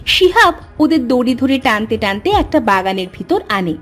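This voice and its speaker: A woman's voice: a little high, very animated, normal speed, a bit bright, faint music behind. A woman reading scripted narration for a cartoon story.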